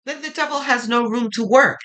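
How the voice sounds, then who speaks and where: A woman with a low voice that turns gravelly when quiet, slowly and reassuringly reading tarot cards and thinking aloud. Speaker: tarot reader.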